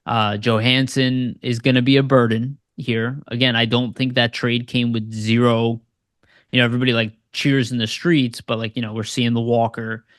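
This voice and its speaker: A man's voice: mid-range voice, little pitch movement, normal speed, no room sound, clean studio sound. An American man co-hosting a sports podcast, talking in a loose, rambling way with lots of fillers. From United States. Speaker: podcast co-host. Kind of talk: casual sports podcast chat.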